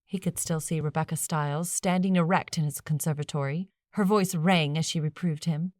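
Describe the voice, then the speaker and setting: Woman, audiobook narrator, lower-pitched voice, dry close sound, scripted storytelling. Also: steady tone, normal speed, a little mellow, dry recording.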